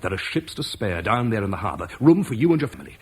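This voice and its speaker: An actor playing Marcus, a duty-bound Roman officer, in an old radio play, earnest and measured. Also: male voice, fairly low pitch, big pitch swings, slight background noise. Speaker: Roman commander leaving Britain. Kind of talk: 1950s BBC radio drama acting.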